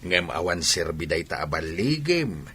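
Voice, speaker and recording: A man's voice: low-pitched, sing-song, normal speed, sharp tone, a little hiss or hum, exaggerated voice. A man playing a candid, caring elderly village father-in-law in a radio drama, with a Filipino accent. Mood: earnest, reproving. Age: older. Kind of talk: Ilocano radio drama acting.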